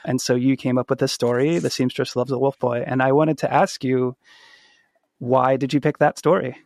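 A man's voice: mid-range voice, level tone, normal speed, darkish tone, dry recording. A man hosting a podcast in a casual, conversational interview style. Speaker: podcast host.

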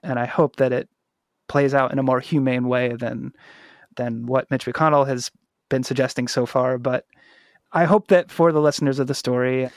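A man's voice: mid-range voice, dry recording. Male podcast host, mid-range voice, conversational and reflective, with a close, dry recording. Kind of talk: casual interview conversation.

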